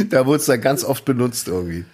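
A man's voice: medium pitch, breathy edge. A man co-hosting a casual podcast, talking loosely with many fillers and reading out news items. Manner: relaxed, reads news items aloud with frequent fillers. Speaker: podcast co-host.

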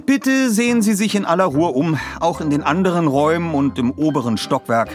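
A man's voice: fairly high pitch, animated, brisk, faint music behind, voice pushed up, close to an everyday voice. Audiobook role: man reading a polite, nervous young female seller, voice lifted well up. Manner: polite, anxious. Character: nervous, polite young woman selling off a house. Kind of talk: audiobook character dialogue.

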